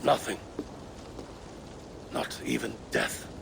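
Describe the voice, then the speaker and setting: An actor playing a troubled warrior son of a Norse god in a video game, with a gravelly, halting delivery. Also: male voice, background noise, natural voice. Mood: troubled, hesitant. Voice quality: very gravelly, creaky. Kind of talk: dramatic video game acting.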